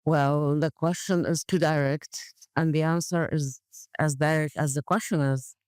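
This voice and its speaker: Clear-voiced woman, blunt and direct. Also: low voice, steady tone, unhurried, a little mellow, dry recording, studio quality. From Gaza, Palestine. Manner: blunt, matter-of-fact. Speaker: podcast guest, community development worker. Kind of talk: conversational interview answers.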